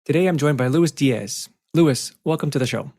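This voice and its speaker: A man's voice: on the high side, normal speed, dark tone. A man hosting an interview podcast, introducing and questioning a guest in a conversational style. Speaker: podcast host.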